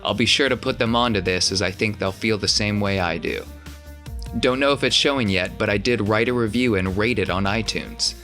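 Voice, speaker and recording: A man's voice: on the low side, a little fast, pushed and bright, soft background music. A man hosting a tabletop role-playing podcast as game master, with a teasing, jokey manner.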